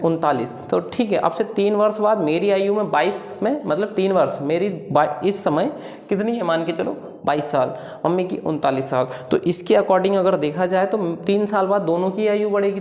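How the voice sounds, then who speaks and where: A man's voice: high voice, moderate pace, darkish tone. A man teaching step by step in an informal way, often repeating phrases and posing questions that he answers himself. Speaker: maths teacher. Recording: echoey room.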